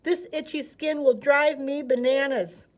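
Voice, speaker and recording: Woman doing a whiny, fussy old python, high-pitched. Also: steady tone, measured pace. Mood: whining. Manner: whiny, scolding. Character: fussy, whining older python, easily irritated.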